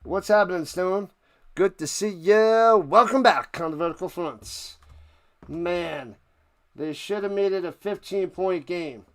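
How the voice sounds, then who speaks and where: A man's voice: sits high, a little slow, fairly bright tone, dry recording. A man hosting a live sports watch party, giving informal, conversational play-by-play and often repeating his phrases. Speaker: live sports streamer, host.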